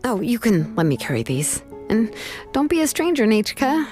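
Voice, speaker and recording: A young woman voicing a friendly, helpful craftswoman in a game cutscene, relaxed and reassuring. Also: fairly high pitch, normal speed. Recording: game audio with background music.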